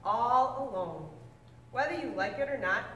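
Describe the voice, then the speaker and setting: Expressive woman reading a storybook aloud. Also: measured pace, a little hiss or hum. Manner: expressive storybook reading with big pitch swings; stumbles and corrects herself. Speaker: reader. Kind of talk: reading a picture book aloud. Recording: echoey room, mic at a distance.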